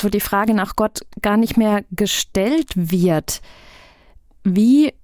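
A woman hosts a radio interview, asking her guest measured questions in a calm, middle-pitched voice. The sound is close and dry, from a studio mic. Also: no echo. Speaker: radio host.

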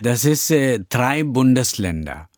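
A middle-aged man from India, a native Tamil speaker with an Indian accent, answering interview questions as a pastor in halting speech full of fillers. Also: medium pitch, sing-song, a little mellow, dry recording, clean studio sound.

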